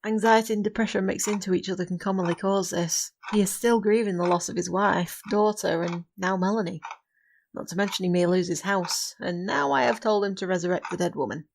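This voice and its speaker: A woman acting a centuries-old witch in an audio drama, firm but caring, speaking earnestly in formal, measured phrases. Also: mid-range voice, vocal fry, a little hiss or hum, close to an everyday voice. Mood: remorseful.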